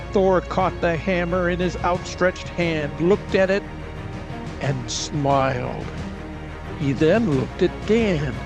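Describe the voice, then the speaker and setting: A man delivers dramatic scripted narration for an audio adventure. He speaks slowly in a slightly high male register, with clear music running behind him. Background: cinematic music.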